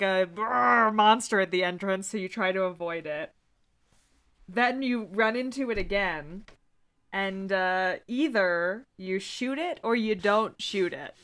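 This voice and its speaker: A woman's voice: medium pitch, measured pace, fairly bright tone, clean tone. A woman podcast host casually recapping and reading from a book in a wry, amused way. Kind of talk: casual book discussion with plot recap and read-out passages.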